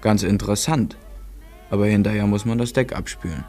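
A man's voice: lowish voice, fairly quick, a little mellow, faint music behind. A man narrating a literary radio play in a composed, articulate way.

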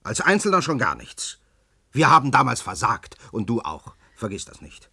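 An actor playing a disillusioned, pragmatic middle-aged scientist in a radio play, cynical and resigned. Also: male voice, mid-range voice, wildly swooping pitch, moderate pace, pushed and bright, slightly rough, dry recording, clean studio sound, exaggerated voice. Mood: irritated, defensive. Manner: resigned, cynical, flaring into irritation.

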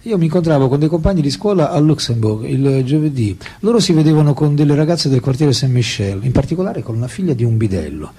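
Very husky, candid French intellectual. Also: male voice, medium pitch, lively intonation, fast, few pauses, dark tone. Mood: candid, dismissive. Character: French intellectual man recalling childhood and love affairs, candid, detached. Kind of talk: radio drama acting, memoir monologue and dialogue.

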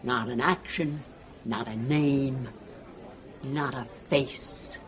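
An actress playing a malevolent old matriarch in a radio drama, speaking with deliberate, implacable menace. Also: female voice, a bass voice, steady tone, some chatter behind, exaggerated voice. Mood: cold menace. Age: older.

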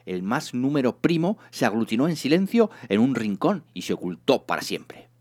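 A man narrating a comic story in a theatrical, expressive scripted reading. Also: medium pitch, moderate pace. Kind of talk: dramatised comic audiobook narration.

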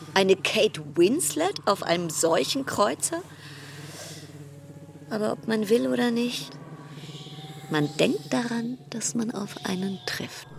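An actress playing an anxious, restless female cruise passenger in a radio play monologue, brooding in clipped fragments that slide into breathy whispers. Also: fairly low pitch, a little hiss or hum.

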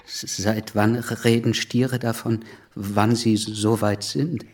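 An actor playing a tired, calm but insistent revolutionary who stutters on his consonants in a radio drama. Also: fairly low pitch, slow. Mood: needling. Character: weary, stuttering male revolutionary pressing for action. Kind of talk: naturalistic radio drama acting.

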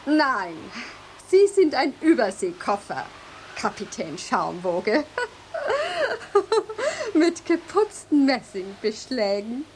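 An actress in a German radio drama playing a haughty, jealous lighthouse mistress, speaking in an imperious, formal and mocking way. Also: female voice, a little high, sing-song, slow, long pauses, slight background noise.

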